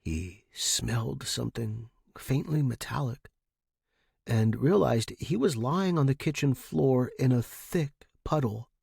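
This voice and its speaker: A man's voice: medium pitch, expressive, slow pace, vocal fry, a little mellow, slightly rough, no room sound, clean studio sound. A man narrating scripted fiction dramatically as a podcast host and storyteller.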